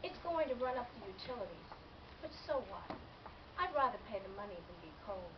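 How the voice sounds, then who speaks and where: A woman's voice: medium pitch, lively intonation, a little fast. Noisy, distant recording of a woman reading. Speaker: author reading own novel excerpt. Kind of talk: scripted literary narration, first person. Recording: dull lo-fi, distant mic, loud background noise.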